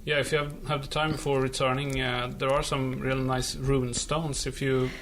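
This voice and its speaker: A man's voice: medium pitch, level tone, moderate pace, a bit bright, slight background noise. A man with a Swedish accent hosting a casual interview, speaking in an easygoing, slightly hesitant way. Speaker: podcast host, interviewer. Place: room at a comics show.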